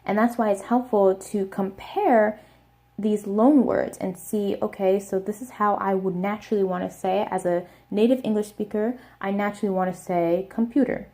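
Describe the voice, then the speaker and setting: A young woman with an American accent explaining pronunciation step by step in a casual video lesson, repeating words slowly. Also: medium pitch, fairly even intonation, dark tone. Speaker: language teacher.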